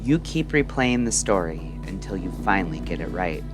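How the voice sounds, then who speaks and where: A man delivering a scripted, introspective late-night radio monologue in measured, deliberate short phrases. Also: sits low, sing-song. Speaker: narrator and host of a late-night radio style audio show. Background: music.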